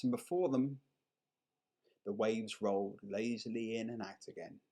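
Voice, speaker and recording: Slow-paced male story reader. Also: medium pitch, slightly rough. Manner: unhurried, measured, expressive storytelling. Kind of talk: dramatic short story narration.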